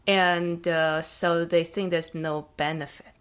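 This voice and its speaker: A woman's voice: fairly low pitch, level tone, slow pace, clean tone. A woman host from Taiwan with a Taiwanese accent, asking thoughtful questions in a casual interview, with small grammar slips. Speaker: podcast host.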